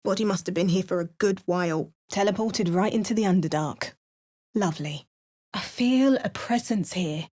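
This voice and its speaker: An actress playing a wry, curious spellcasting adventurer in a fantasy video game, with a measured, storylike delivery. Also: female voice, mid-range voice, darkish tone, dry recording, studio quality, close to an everyday voice. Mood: wry, musing. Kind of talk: video game character voice lines.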